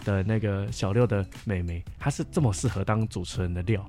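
Music plays behind a young man with a fairly low voice. He speaks Taiwanese-accented Mandarin in a casual podcast style, explaining things at length in a reflective, hedging way. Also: fairly quick. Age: young adult. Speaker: camp counselor sharing experiences. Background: background music.

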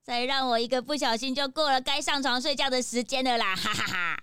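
一位女演員在兒童推理廣播劇中扮演興奮、愛撒嬌央求的年輕小助手，語氣誇張熱切。. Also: female voice, high-pitched, a little fast, sharp tone, clear, resonant tone, no room sound, clean studio sound. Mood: hurried, sheepish. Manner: gushing, exclamatory, pleading. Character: excitable young assistant Peanut, eager and coaxing. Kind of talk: children's detective audio drama acting.

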